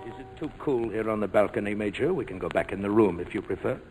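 An actor playing a smooth, menacing crime boss in 1940s radio drama style, mock-polite and coolly amused. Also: male voice, on the low side, over loud music, natural voice. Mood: amused threat. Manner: suave, mock-polite, unhurried threats. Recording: noisy vintage 1940s radio recording.

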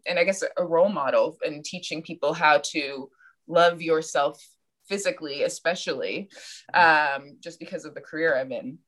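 A woman's voice: on the low side, fairly bright tone. A woman of thirty, a podcast guest, talking in a reflective, searching way and laughing at herself now and then. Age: 30s. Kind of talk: casual interview chat.

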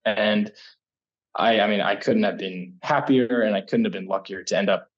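American man in his thirties, lowish husky voice, casual and rambling interview storytelling. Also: fairly even intonation, moderate pace, dull audio. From United States. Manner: rambling, self-correcting, laughs often. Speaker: guest, startup incubator energy lead.

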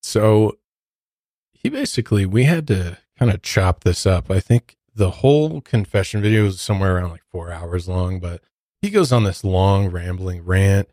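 A man's voice: deep voice, lively intonation, moderate pace, mellow, no echo, clean studio sound. An American man hosting a true crime podcast, narrating and commenting in a casual, conversational, disapproving way. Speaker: podcast host.

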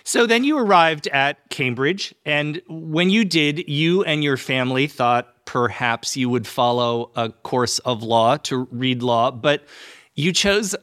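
A man with a mid-pitched voice works as an interview host. He phrases his questions in a measured, slightly formal way, and the sound is very dry. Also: a bit bright, no room sound. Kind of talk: prepared interview questions, conversational.

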